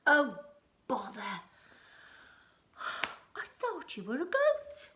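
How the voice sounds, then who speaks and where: A grumpy storybook troll roars his lines, loud and a little higher than you'd expect, as a woman reading aloud puts him on. The voice swoops widely and rings out in a roomy space. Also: wildly swooping pitch, very slow, slight background noise, full character voice. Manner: roaring, booming exclamations. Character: gruff bridge troll, grumpy male fish-eater. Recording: roomy echo.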